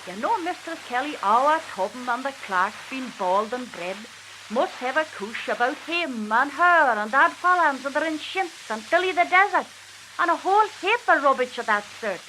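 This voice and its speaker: A crackly 1940s archive recording carries a woman reciting as a Manx country wife in a sharp, fairly high voice. She is chatty and exasperated, confiding her grievances to a neighbour in broad dialect. The storytelling is animated, with steady hiss behind it. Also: moderate pace. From Isle of Man. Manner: animated, confiding, repeatedly addressing her listener.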